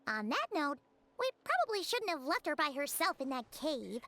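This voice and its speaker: A woman's voice: very animated, normal speed, a little hiss or hum, heavily acted. A woman voicing a tiny, chirpy and blunt fairy companion in a video game, speaking of herself in the third person. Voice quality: high, bright, squeaky. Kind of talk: game voice acting.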